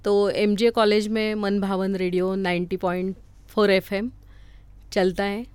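A woman from Maharashtra with a Marathi accent, giving casual, somewhat halting answers as an interview guest. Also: medium pitch, level tone, a little mellow, smooth, dry recording. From Maharashtra, India.

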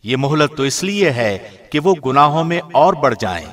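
A man giving a formal, scripted reading of a scripture translation in a measured, solemn manner. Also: fairly high pitch, big pitch swings, fairly bright tone. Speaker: translation reader, radio host.

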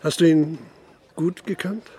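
An actor playing a methodical, insistent police investigator in a German radio crime drama. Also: male voice, fairly high pitch, measured pace, warm tone, slightly rough. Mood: probing. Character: persistent, probing male police investigator narrating his inquiry. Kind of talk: German radio drama acting, interrogation and narration.

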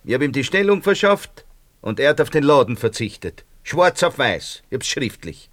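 An actor playing a nervous, self-justifying barber in a radio drama, rambling colloquially with a Viennese accent. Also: male voice, medium pitch, sing-song, moderate pace, a bit bright, no echo. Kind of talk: naturalistic radio drama dialogue.

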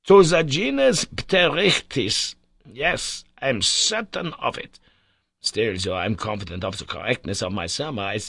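A man voicing an exacting, excitable older male professor in an audiobook, lecturing in a precise, emphatic way. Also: medium pitch, gravelly, breathy edge, no echo, clean studio sound, heavily acted. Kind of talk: audiobook character reading.

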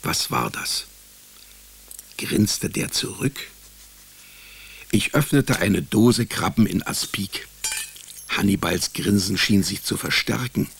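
A man's voice: on the low side, animated, slow pace, vocal fry, pauses often, a bit bright, slight background noise, full character voice. An actor playing a sardonic, wisecracking male private detective, narrating his case in a dry, laconic, ironic way in a radio drama. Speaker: narrator and lead character. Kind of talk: first-person hard-boiled radio drama narration. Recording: studio radio drama with music behind.

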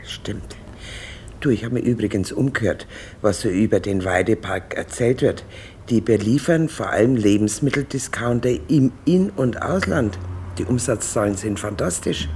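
An actor playing a chatty, streetwise police colleague in a naturalistic radio drama, talking in informal slang. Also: male voice, lowish voice, moderate pace, a little mellow, slight background noise, close to an everyday voice. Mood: confiding, casual.

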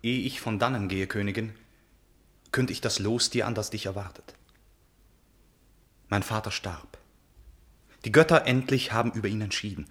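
An actor playing a noble, earnest young prince in a classical verse radio drama, with formal, stately declamation. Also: male voice, lowish voice, moderate pace, long pauses, close to an everyday voice. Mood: grave.

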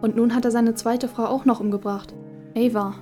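Woman acting a soft-toned, higher-voiced teenage schoolgirl. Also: steady tone, normal speed, faint music behind, lightly acted. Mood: earnest. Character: earnest, spirited boarding-school girl in her late teens. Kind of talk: German audio drama acting.

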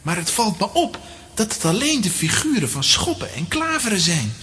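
A woman's voice: medium pitch, big pitch swings, moderate pace, fairly bright tone, a little hiss or hum. An actress playing a sensible, protective and sceptical young boy with a Flemish accent in a children's audio drama.

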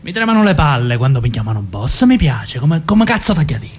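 A brooding mob boss, raspy husky voice that swings around a lot, southern italian accent, vain, radio play. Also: male voice, medium pitch, very animated, a little fast. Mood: wounded pride. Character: vain, brooding mafia boss, wounded and torn. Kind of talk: stage-style radio drama.

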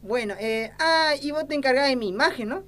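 A woman's voice: sits high, a little fast, a bit bright. A woman playing a crooked, lecherous, ignorant old small-town politician, with an Argentine accent and folksy, blustering talk in an amateur satirical sketch. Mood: bossy, scheming.

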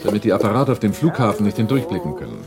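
An actor playing a shrewd, cool-headed arms smuggler in a German radio drama, husky and matter-of-fact. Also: male voice, fairly low pitch, big pitch swings, very fast, over music.

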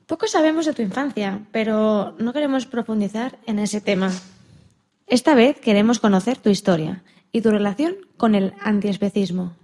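A woman's voice: medium pitch, lively intonation, normal speed, dark tone. A woman co-hosting a radio programme in a conversational interview style.

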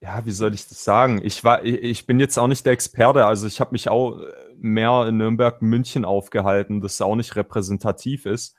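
A man's voice: on the low side, steady tone, moderate pace, few pauses. Rambling Swabian-accented man on a call. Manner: rambling, self-interrupting, acts out quotes. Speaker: panel guest. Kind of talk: casual political chat. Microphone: video call.

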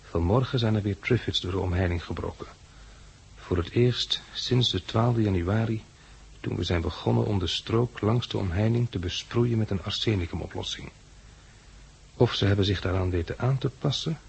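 A man's voice: lowish voice, gravelly, darkish tone, husky, heavily acted. An actor playing a weary, irritable man defending a farmhouse in a 1960s radio drama, speaking Netherlands Dutch. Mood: weary, reflective.

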